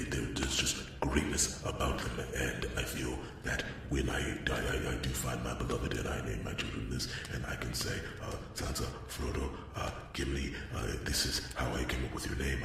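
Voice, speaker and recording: A man playing a lonely, awkward dwarf blacksmith in a fantasy ASMR roleplay, rambling and stammering earnestly as he tries to make a friend. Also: rumbling low. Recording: echoey, distant, noisy with clicks.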